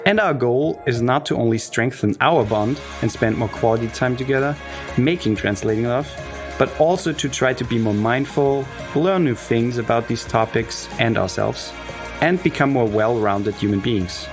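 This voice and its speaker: A man from Austria, co-host of a podcast, reading a scripted promo in an earnest, measured way. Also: medium pitch. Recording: music bed under the voice.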